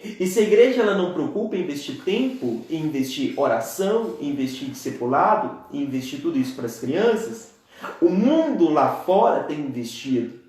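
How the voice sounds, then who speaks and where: A young man in his twenties with a Brazilian Portuguese accent gives an earnest church talk and keeps turning to his listeners as dear ones. His voice sits a little high for a man. The room around him is very echoey, with his words ringing back. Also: sing-song, a little fast, darkish tone. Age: 20s. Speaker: preacher. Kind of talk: church teaching talk on a live stream.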